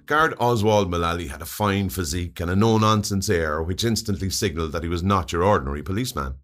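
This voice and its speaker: A man with an Irish accent reading a comic short story aloud in an expressive, wry way. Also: low-pitched, fast. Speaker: story reader.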